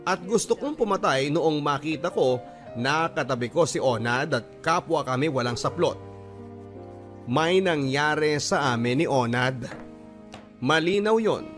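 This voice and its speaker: A wounded letter sender telling a personal story in a Filipino radio drama. His voice is on the high side, in scripted dramatic narration. Soft music plays faintly behind him. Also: animated, unhurried. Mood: pained recounting.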